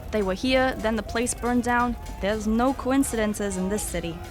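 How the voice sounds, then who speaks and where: A woman's voice: on the high side, moderate pace, soft background music, lightly acted. Sardonic, streetwise young queen played by a woman. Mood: wry, sure. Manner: blunt, sarcastic, slangy. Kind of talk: fantasy audio drama acting.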